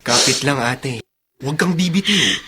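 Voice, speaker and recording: An actor playing a loyal, encouraging younger brother in a radio drama. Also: male voice, medium pitch, expressive, normal speed, bright, forceful tone, slight background noise.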